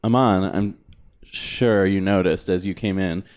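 A man from Northern California co-hosting a podcast, joking in a dry, deadpan way. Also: sits low, unhurried. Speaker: podcast co-host. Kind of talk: casual podcast chat.

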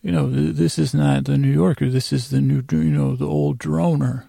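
A man with a gravelly voice hosts a bedtime podcast, droning along in a meandering, halting monologue full of tangents. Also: fairly high pitch, steady tone, mellow, no echo, clean studio sound. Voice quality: creaky, gravelly. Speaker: podcast host.

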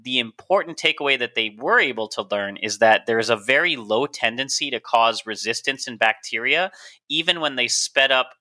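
A man's voice: medium pitch, animated, normal speed, bright, forceful tone. A man co-hosting a casual science podcast, explaining research with a joking, self-mocking manner. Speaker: podcast co-host.

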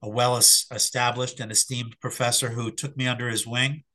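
A middle-aged man, slightly hoarse after an illness, answering interview questions in a reflective, hesitant way with fillers and restarts. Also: mid-range voice, little pitch movement, normal speed, sharp tone. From Philadelphia area. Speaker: sports psychologist guest.

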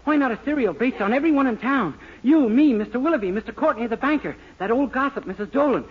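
A man's voice: extremely high pitch, lively intonation, quick pace, slightly rough, exaggerated voice. An American man playing a naive, cheerful young small-town clerk in 1940s radio comedy style, with a boyish, chirpy manner. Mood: excited. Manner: boyish, chirpy, self-pleased. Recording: muffled, noisy 1940s radio recording.

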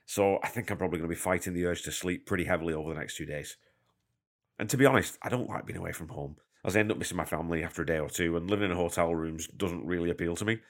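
A man's voice: deep voice, racing. A man hosting a podcast from a hotel room on a portable mic, slightly congested from a cold, chatty and self-deprecating as he patiently explains things. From Canada. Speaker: podcast host. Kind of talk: casual podcast monologue.

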